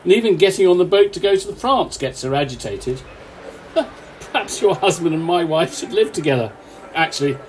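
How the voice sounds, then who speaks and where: A man playing a courteous, quietly wry train passenger in a radio play, mild and conversational. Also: on the high side, lightly acted, rough recording. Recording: faint noise.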